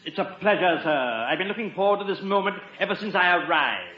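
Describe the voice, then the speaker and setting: Proper, high-voiced British butler. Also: male voice, expressive, quick pace. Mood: polite, composed. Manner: formal, precise, deferential, dry. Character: very proper, dryly witty English butler. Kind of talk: 1950s radio comedy acting. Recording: muffled echoey old radio broadcast.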